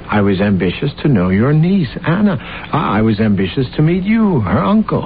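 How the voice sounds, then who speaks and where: An actor playing an ambitious, delusional jailed assassin in a radio drama, grandiose, theatrical and fervent. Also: male voice, lowish voice, a little hiss or hum. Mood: ingratiating, proud. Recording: tinny band-limited old radio broadcast, music behind.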